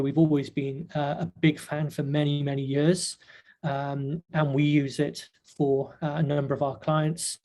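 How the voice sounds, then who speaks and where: Hoarse male webinar host, slightly high, flat delivery, halting with fillers, dark tone. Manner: halting, many fillers and restarts. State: hoarse, nearly losing his voice. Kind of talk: casual webinar talk. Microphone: webcam video call.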